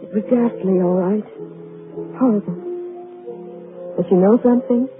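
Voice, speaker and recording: A woman's voice: medium pitch, moderate pace, lightly acted. An actress playing a relieved, wry woman in 1940s radio drama style. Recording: muffled old radio, music behind.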